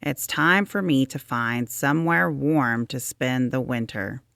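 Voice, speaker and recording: A woman voicing a small male hedgehog, sleepy, patient and gently bossy, with an emphatic storybook delivery. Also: very deep voice, unhurried, creaky, no echo, clean studio sound, heavily acted.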